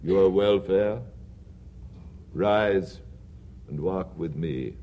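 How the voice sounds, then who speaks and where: A grave spirit speaking in a low, hushed voice, put on by a male reader. He talks slowly and solemnly, measured and deliberate. Each phrase sinks to a quiet, deep close. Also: lo-fi sound, heavily acted.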